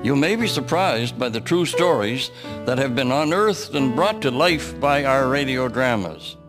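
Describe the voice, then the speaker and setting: A man's voice: medium pitch, expressive, a little slow. Male host for drama introduction, mid-range voice, scripted read, guitar bed. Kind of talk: scripted welcome narration. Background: plucked guitar music.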